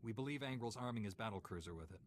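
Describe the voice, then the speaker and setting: An actor playing a determined, protective Jedi knight in a video game, firm and resolute. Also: male voice, lowish voice, animated, rapid-fire, a little hiss or hum, close to an everyday voice. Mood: earnest.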